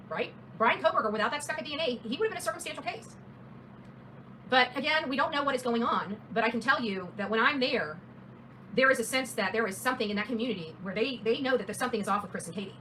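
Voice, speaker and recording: A woman hosts a casual true-crime livestream with a fairly high, quick voice. She is blunt and opinionated, leaning emphatically on her points. There is faint noise behind her. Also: pushed and bright, rough recording.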